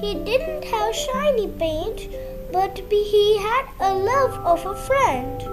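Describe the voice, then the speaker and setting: A young girl reads a children's story aloud. Her voice is extremely high and very animated in its melody, and she takes the words slowly and carefully. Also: loud music behind the voice.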